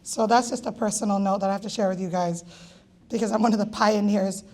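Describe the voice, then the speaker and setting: A woman emceeing a gala and calling raffle numbers, with a raspy voice and a playful, teasing manner. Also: mid-range voice, steady tone, quick pace, darkish tone. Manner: playful, teasing, jokey asides. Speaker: event host calling raffle draws. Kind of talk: live emcee banter at a gala.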